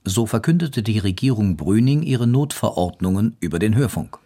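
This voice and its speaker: A man narrating a scripted historical account in a measured, matter-of-fact way. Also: lowish voice, animated, dark tone. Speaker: documentary narrator.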